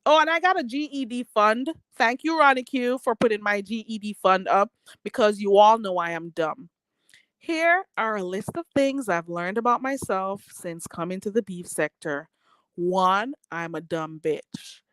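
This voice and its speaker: A woman's voice: mid-range voice, unhurried, fairly bright tone, clear, resonant tone, no echo. Chatty woman livestreamer, dry close sound. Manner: rambling, filler-heavy, laughs often. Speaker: livestream host reading chat. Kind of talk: casual chat.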